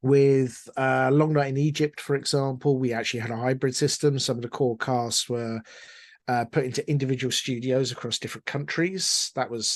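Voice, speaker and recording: Middle-aged man, mid-pitched, wry and chatty interviewee, very dry close sound. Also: no room sound. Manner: wry, self-deprecating, chatty. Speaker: interview guest, audio drama producer. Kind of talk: casual interview storytelling.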